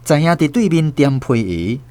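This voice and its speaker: A man with a Taiwanese accent narrating a scripted radio drama story in an expressive storyteller's delivery. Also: a little high, moderate pace. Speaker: host and narrator.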